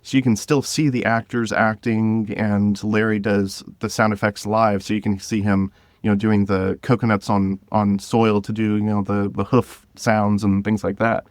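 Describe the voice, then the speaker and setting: A man with a lowish voice in a dry, close recording. He is an interview guest who explains things in an informal, conversational way, often pausing to gather his thoughts. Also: level tone, dry recording. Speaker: podcast guest, drama creator.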